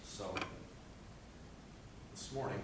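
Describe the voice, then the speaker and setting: A teenage high school boy with a low speaking voice gives an earnest, rambling presentation about his senior project, with many fillers. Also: drawn-out words. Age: teens. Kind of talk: presentation speech. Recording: echoey room, distant mic, background noise.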